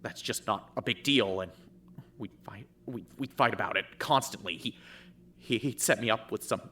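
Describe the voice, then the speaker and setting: A man plays a shaken teenage boy who halts and stammers, tripping over his own words. The voice sits low and bright. It is a fan audio drama performance. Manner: halting, stammering, repeats words.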